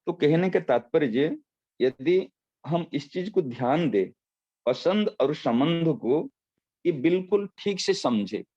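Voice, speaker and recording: A man with a somewhat high voice delivers a devotional talk as a spiritual teacher. He unpacks his points through everyday examples, slowing down to repeat the key phrases. The recording sounds dull and lo-fi. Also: animated, warm tone. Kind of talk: devotional discourse.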